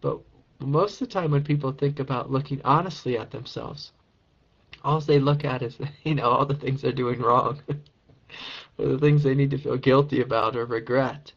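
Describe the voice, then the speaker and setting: A man with a raspy voice, a meditation teacher answering a question in a calm, encouraging spiritual talk. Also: medium pitch, normal speed, highs cut off. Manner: gentle, calm and encouraging, with soft laughs.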